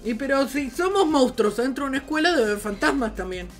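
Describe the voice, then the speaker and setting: A woman's voice: sits high, fast. A young woman with an Argentine Spanish accent, joking around in casual banter during a video game. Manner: joking, animated. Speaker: gaming companion. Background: background music.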